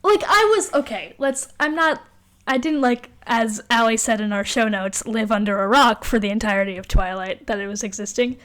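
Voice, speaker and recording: A woman's voice: fairly high pitch, expressive, normal speed, fairly bright tone. A woman co-hosting a podcast in casual comedic banter, sarcastic and self-deprecating, happy to swear. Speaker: podcast co-host.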